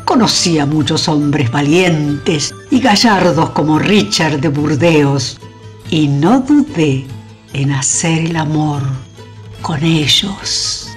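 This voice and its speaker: A woman's voice: sits low, expressive, soft background music. An actress playing an imperious, nostalgic old queen mother in a radio drama, with an Argentine accent and theatrical delivery. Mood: wistful, mischievous. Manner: regal, slow, theatrical.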